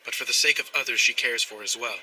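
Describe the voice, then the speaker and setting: A composed, devoted young gentleman tutor from an anime, speaking at medium pitch. He is formal, measured and dryly sardonic, slightly off-mic with clear ambient noise. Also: recorded from a distance, low-quality recording. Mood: pensive, composed. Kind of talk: dubbed anime acting.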